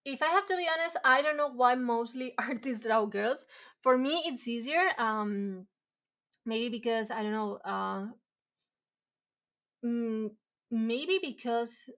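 A woman's voice: fairly high pitch, fairly bright tone. Woman chatting while she draws. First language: Spanish. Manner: rambling, many fillers and restarts, self-deprecating laughs. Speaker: artist streaming a drawing session. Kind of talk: casual chat while drawing. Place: home room, indoors.

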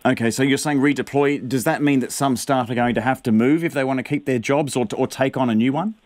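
A man's voice: medium pitch, fast, darkish tone, dry recording. A man in his thirties with a New Zealand accent, presenting the news and pressing an interviewee with probing questions in a radio studio. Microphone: studio mic on a boom.

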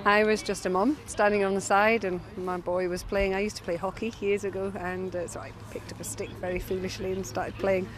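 A woman's voice: mid-range voice, level tone, brisk, hardly any pauses. A woman in her forties talking casually in an interview as a club coach. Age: 40s. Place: open grass playing field. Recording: noisy outdoor field.

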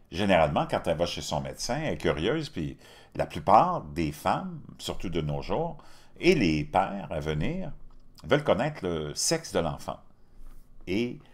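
A man in his fifties with a Quebec French accent, hosting casual political commentary from a home studio, indignant and repeating words as he talks. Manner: animated, indignant, repeats words, frequent pitch jumps. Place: home studio with virtual backdrop.

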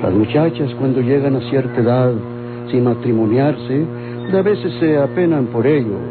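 An actor with a Mexican accent playing a grave, protective older father in a radio drama, deliberate and folksy. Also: mid-range voice, close to an everyday voice. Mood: grave, disapproving. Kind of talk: golden-age Mexican radio drama acting. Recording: tinny old radio recording, music behind.

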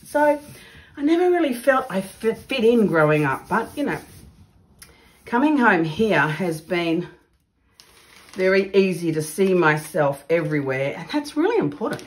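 A woman's voice: mid-range voice, sing-song, unhurried. A woman with an Australian accent, chatting casually and exclaiming over her work as she demonstrates printing in her home art studio. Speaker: mixed media artist. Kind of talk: casual craft demo chat.

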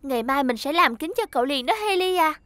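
A woman voicing a bright, capable, friendly young female mechanic in a children's cartoon dub, helpful and encouraging. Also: high voice, expressive, fast, no echo. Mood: pleased.

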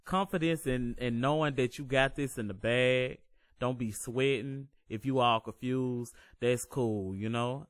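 A deep-voiced man hosting a casual home-recording tutorial as a voice-over, laid-back, reassuring and loose with profanity. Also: fairly bright tone, dry recording, clean studio sound.

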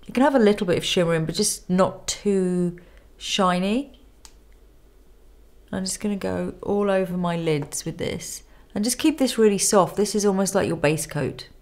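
A woman in her thirties with a cold and a nasal voice, calmly talking through a makeup tutorial step by step in a studio. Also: medium pitch, normal speed. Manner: calm step-by-step instruction while applying makeup. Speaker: makeup artist. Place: indoor studio with plain grey backdrop.

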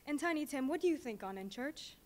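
A woman playing a bustling, sharp-tongued mother. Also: high-pitched, expressive, a little hiss or hum. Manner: brisk, fussing, indignant. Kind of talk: live stage reading.

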